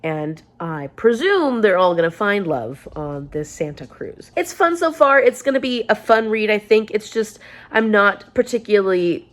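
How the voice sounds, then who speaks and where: A woman's voice: medium pitch, big pitch swings, normal speed. A woman vlogging casually to camera, chatty and upbeat, a little congested with a sore throat. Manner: chatty, upbeat, rambling with restarts. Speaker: book vlogger.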